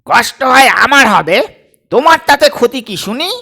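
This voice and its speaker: Man playing a high-pitched, fussy old father. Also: expressive, creaky, sharp tone, full character voice. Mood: irritated. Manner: scolding, emphatic, drawn-out delivery.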